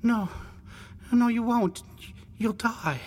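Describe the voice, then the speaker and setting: Man voicing a high, trembling sick teenage boy. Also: husky, heavily acted. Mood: frightened, insistent. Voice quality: tremulous, thickened with tears. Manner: halting, pleading, stammered repetitions. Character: feverish, tearful adolescent boy, frightened for his brother. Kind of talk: audio storybook character voice. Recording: long hall reverb, background noise.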